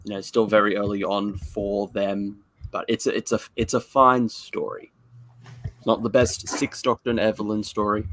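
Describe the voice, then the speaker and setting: A man's voice: lowish voice, flat delivery, moderate pace, a bit bright, a little hiss or hum. A man co-hosting a casual review chat, talking in a rambling, informal and repetitive way. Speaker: show co-host.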